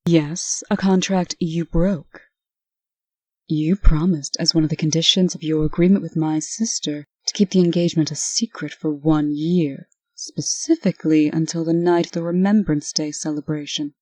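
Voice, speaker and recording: A woman playing a stern, brisk official in a comic dub, speaking with dry, scolding authority. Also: low-pitched, fairly even intonation, a little mellow, dry recording, rough recording. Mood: stern, businesslike. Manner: crisp, authoritative, dryly scolding. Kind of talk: scripted comic-dub acting.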